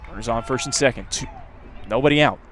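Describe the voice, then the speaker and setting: A man does live radio baseball commentary at a medium pitch, with outdoor ballpark noise behind him. He talks conversationally between pitches, filling the time with background on the players. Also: fast, fairly bright tone, some chatter behind. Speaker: play-by-play announcer. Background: outdoor ballpark ambience.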